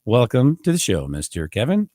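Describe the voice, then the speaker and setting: A man's voice: medium pitch, wildly swooping pitch, moderate pace, warm tone, no echo, clean studio sound. A man from the United States hosting a casual podcast, joking and laughing often. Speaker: podcast host.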